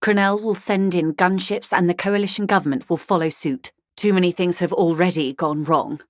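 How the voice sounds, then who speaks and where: A woman voicing a composed, shrewd corporate negotiator in a video game, speaking in a measured, formal, articulate way with frank gravity. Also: fairly low pitch, no echo, close to an everyday voice. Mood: grave warning. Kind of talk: scripted video game character dialogue.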